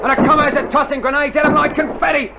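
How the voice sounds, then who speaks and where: A young Australian digger in an old radio war play, cheeky and slangy. His voice is high for a man. Loud noise and artillery rumble fill the worn vinyl recording. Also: quick pace, vocal fry. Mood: feverish excitement. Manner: slangy, laconic, then excitable. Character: younger Australian infantry soldier, cheeky and excitable. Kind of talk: 1960s radio drama acting. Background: artillery and battle sound effects.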